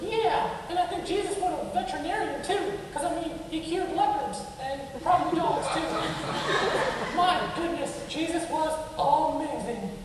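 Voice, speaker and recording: In a very echoey hall, far from the mic, comes a giddy puppet boy played by a woman. The voice is high and childlike, gushing naively through everything. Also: unhurried, background noise. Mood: wide-eyed, enthusiastic. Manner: gushing, giddy, wide-eyed rambling. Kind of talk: children's church puppet show dialogue.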